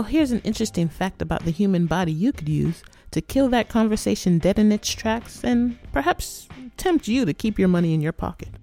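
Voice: medium pitch, creaky, warm tone. A podcast host narrating a scripted educational piece in a playful, conversational way.

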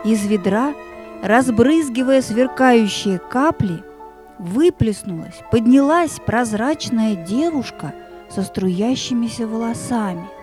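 A woman narrator reading a scripted fairy tale in an expressive, deliberate storytelling manner. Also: fairly high pitch, faint music behind.

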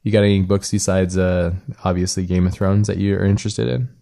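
A man's voice: deep voice, level tone, fairly quick, gravelly, warm tone. A man hosting a casual interview, rambling a little as he searches for words. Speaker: podcast host and interviewer.

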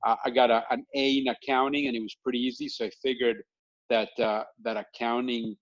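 A man from Colombia telling his story as an interview guest, talking casually and haltingly with many fillers and restarts. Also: mid-range voice, level tone, lo-fi sound. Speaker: guest entrepreneur. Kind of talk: casual interview on a video call.

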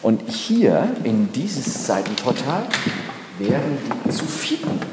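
A man's voice: mid-range voice, sing-song, slow pace. Man explaining in a very echoey hall. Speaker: backstage theatre guide. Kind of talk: explanatory anecdote on location. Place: large reverberant space inside a theatre. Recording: very echoey hall, clear background noise.

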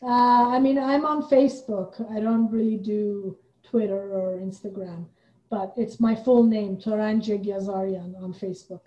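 A woman's voice: medium pitch, steady tone, unhurried, mellow. A woman from Iran speaking casually as a podcast guest, on a dull, roomy recording. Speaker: guest, playwright and theatre director. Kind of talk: casual interview chat.

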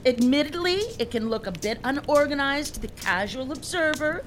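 A woman plays a high, very animated robot rabbit worker in a comic radio play. The manner is breezy and officious, full of false reassurance, over faint background noise. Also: moderate pace. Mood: evasive, coaxing.

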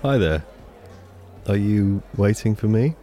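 A man's voice: on the low side, expressive, slow, loud music behind the voice. An actor playing an awkward, nervous young Irish man on a date in an audio drama, self-deprecating and hesitant with his jokes. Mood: tentative. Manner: hesitant, joking. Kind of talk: audio drama acting, naturalistic dialogue.

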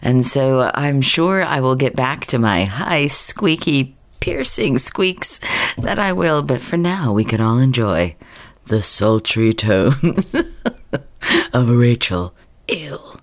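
A woman hosting a podcast while sick, her voice husky and deeper than usual, chatting warmly with laughs and restarts. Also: expressive, slow pace. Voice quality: husky low voice. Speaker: podcast host. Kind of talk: casual podcast talk.

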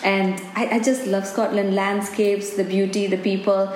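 A woman's voice: mid-range voice, level tone, normal speed, darkish tone, soft background music. Earnest Indian-accented businesswoman in a roomy space. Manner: earnest, reflective, with frequent "you know". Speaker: business owner and guest. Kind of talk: interview answers. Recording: roomy, with faint background ambience.

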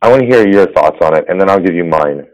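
A slightly hoarse man hosting a casual tech podcast over a phone line, rambling with fillers and restarts. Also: deep voice, animated, quick pace. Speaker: podcast host. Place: business center. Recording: phone-quality audio.

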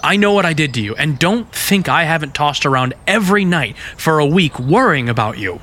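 An actor playing an earnest, plainspoken young American detective in love, in vintage radio drama style. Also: male voice, a little high, animated, fairly quick, pushed and bright, a little hiss or hum. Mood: tender, earnest.

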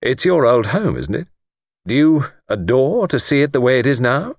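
Man playing an outspoken younger English man, lively. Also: mid-range voice, normal speed, gravelly, dry recording, clean studio sound, voice pushed up. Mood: probing. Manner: punctilious, blunt.

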